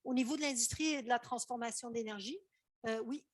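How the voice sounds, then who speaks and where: A middle-aged woman with a Québécois French accent presents a lecture, her voice sitting a little high. She speaks haltingly, with many fillers and restarts. The sound is close and dry. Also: no room sound. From Canada. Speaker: climate council chair giving a lecture. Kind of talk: conference talk presenting data.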